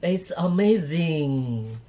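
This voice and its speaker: An earnest male storybook character, played by a woman reader. The voice is dropped low, slow and emphatic, swinging widely in tone. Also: lively intonation, mellow, exaggerated voice. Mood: awestruck.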